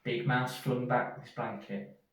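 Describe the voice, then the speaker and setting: A man reading a children's story aloud in an expressive storyteller's manner. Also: medium pitch, a little slow. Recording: echoey room, distant from mic.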